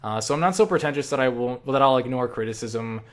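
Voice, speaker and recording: A man's voice: mid-range voice, quick pace, a bit bright. A young man chatting casually in a question-and-answer vlog, rambling and self-deprecating, with casual swearing. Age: young adult. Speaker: online video creator answering viewer questions.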